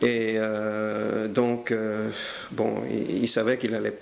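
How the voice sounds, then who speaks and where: An older man recounting his family's history in a hesitant, halting way, with many fillers and restarts. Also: on the low side, monotone, creaky, a little mellow. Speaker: interviewee telling family history. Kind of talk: hesitant spoken recollection.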